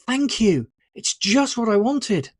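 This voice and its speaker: An excitable young schoolboy as a storybook narrator acts him out. The voice jumps up high and swings about with delight. It sounds dry and close. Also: takes it slowly, no room sound. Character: excitable, delighted ten-year-old English schoolboy.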